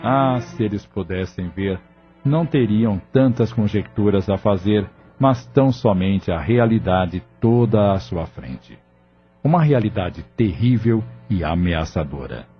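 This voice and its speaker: A man's voice: on the low side, expressive, unhurried, lo-fi sound. A Brazilian man reading scripted narration for an audio drama. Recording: band-limited recording with music bed. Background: music.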